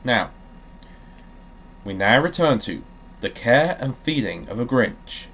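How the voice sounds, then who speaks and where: A man's voice: mid-range voice, big pitch swings, takes it slowly, fairly bright tone. A man hosting a gaming video, talking casually and reading aloud dramatically, with a joking, sweary manner.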